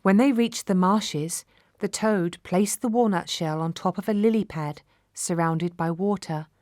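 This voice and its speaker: A woman narrating a children's fairy tale from a script, measured and expressive. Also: fairly low pitch, dry recording, studio quality. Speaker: storyteller.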